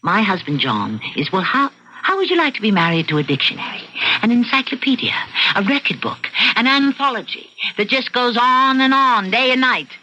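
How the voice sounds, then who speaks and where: Bright-voiced, defiant housewife. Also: female voice, a little high, big pitch swings, normal speed, exaggerated voice. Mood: exasperated. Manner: argumentative, self-justifying. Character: bored, defiant housewife confessing murderous wishes to her psychiatrist. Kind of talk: old-time radio mystery acting. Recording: muffled vintage radio recording with noise.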